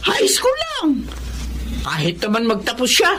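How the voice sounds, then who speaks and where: Lively, scornful Filipino mother. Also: high-pitched, measured pace, background noise, exaggerated voice. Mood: mocking, scornful. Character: scornful, mocking, money-minded mother belittling her son. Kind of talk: Filipino drama acting.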